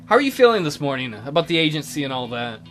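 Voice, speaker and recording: A man's voice: a little high, very animated, fairly bright tone. Man reading as an awkward, hesitant male schoolteacher. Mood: apologetic, hesitant. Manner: hesitant, halting, casually read. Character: awkward, apologetic male schoolteacher in a visual novel. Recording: music behind the voice.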